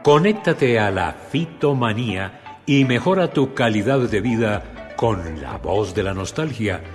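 A radio announcer delivers station promos slowly, with a lively, swinging melody. The voice has a long reverb, and a music bed plays clearly behind him. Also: male voice, on the low side.

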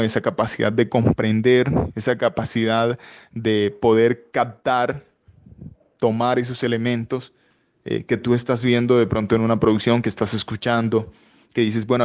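A Colombian man, a voice-over artist, explaining his craft in a conversational interview, often pausing on "eh" and restarting phrases. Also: medium pitch. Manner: hesitant, frequent "eh" fillers and restarts.

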